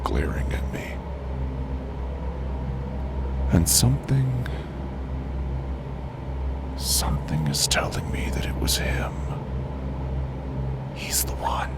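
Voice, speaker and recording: A man playing a deranged, paranoid, murderous narrator in a horror audio drama, speaking in a menacing, deliberate, breathy half-whisper. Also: a bass voice, big pitch swings, full character voice. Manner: slow, menacing, deliberate confessional delivery.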